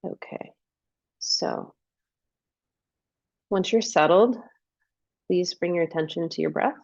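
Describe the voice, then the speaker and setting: A woman leading a guided meditation as a coach, giving gentle instructions and unhurried invitations with pauses. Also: lowish voice, vocal fry, no room sound, lo-fi sound.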